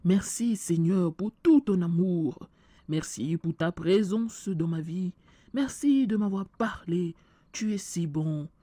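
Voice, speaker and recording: A woman playing a preacher who leads worship and prays fervently, often in a hushed voice and with hesitant fillers. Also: fairly low pitch, slow pace, no room sound. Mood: fervent prayer. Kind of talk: church skit, preaching and prayer.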